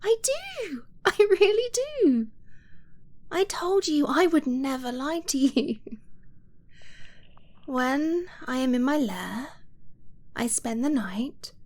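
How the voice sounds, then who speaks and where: A woman voicing an affectionate young dragon-blooded girlfriend in an ASMR romance roleplay, soft and breathy, often near a whisper, tender and confiding. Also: high voice, sing-song, full character voice. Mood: playful, bragging. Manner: tender, unhurried, giggly, confiding.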